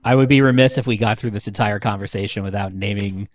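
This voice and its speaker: A man's voice: fairly low pitch, quick pace. A young man talking casually as a podcast panelist. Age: young adult. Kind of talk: casual chat.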